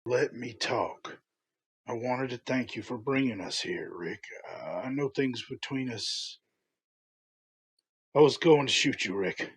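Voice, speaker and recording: A man playing an older, remorseful farmer father, speaking haltingly and earnestly in a motion comic drama. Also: mid-range voice, expressive, husky. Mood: solemn, grateful. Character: grieving, remorseful farmer father, humbly thankful.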